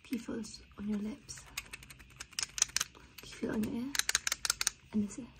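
A woman speaking in a whispery, breathy soft voice in an ASMR exam roleplay, gentle and reassuring, often repeating short phrases. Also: on the high side, fairly even intonation, moderate pace, very noisy. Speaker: ASMR roleplay creator playing an examiner.

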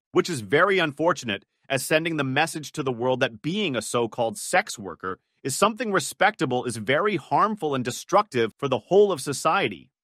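A man delivers scripted commentary in a high, sharp voice. His tone is scornful and sarcastic. The voice-over is close and very dry. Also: no echo. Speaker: video commentator.

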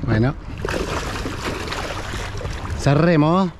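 A man's voice: fairly high pitch, expressive, very slow, over a noisy crowd. A man with a Rioplatense accent bantering casually on a fishing boat. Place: open boat on a river, outdoors. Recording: loud wind, water and boat noise.

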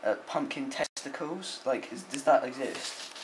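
A man's voice: medium pitch, measured pace. A young adult man making a prank phone call, asking politely and hesitantly with feigned earnestness. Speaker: prank caller. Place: dim room at home. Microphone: handheld camera close by. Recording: amateur camcorder audio with clear noise.

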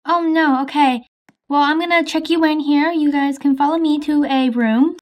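A woman voicing a calm, practical doctor in an improvised game roleplay, brisk and reassuring. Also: high voice, natural voice.

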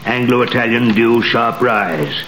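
An actor in a 1938 radio drama playing a desperate, scheming middle-aged banker who talks forcefully, on an old broadcast recording. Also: male voice, mid-range voice, takes it slowly, roomy sound, slight background noise, poor audio. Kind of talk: 1930s radio acting.

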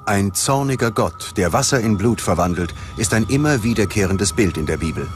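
A man narrates a documentary in a fairly low voice. His delivery is scripted, measured and dramatic. Clear background music plays beneath him. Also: animated.

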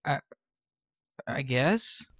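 A young man playing a sullen, sarcastic, troubled high school boy in an audio drama, hesitant and defensive. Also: lively intonation, drawn-out words, fairly bright tone, no echo, clean studio sound. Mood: sarcastic, awkward.

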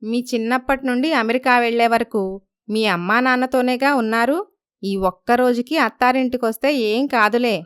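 A woman playing an emotional, insistent young wife in an animated moral story, given to reproach. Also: on the high side, moderate pace, clean tone, no echo, clean studio sound, close to an everyday voice. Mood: hurt, reproachful.

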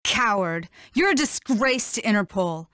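A woman's voice: mid-range voice, lively intonation, measured pace, a bit bright, dry recording, studio quality. An actress playing an honest, defiant policewoman in a video game, indignant and impassioned. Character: honest, defiant female fox police officer.

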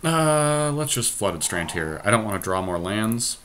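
A man's voice: on the low side, big pitch swings, measured pace, fairly bright tone, a little hiss or hum. A man who hosts a podcast, giving casual commentary on a card game as he plays. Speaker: podcast host playing an online card game.

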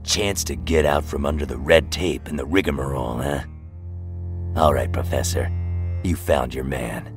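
An actor playing a gruff, cocky, defiant military agent in an audio drama, curt and sardonic. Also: male voice, rumbling low, moderate pace, music in the background. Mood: curious, curt.

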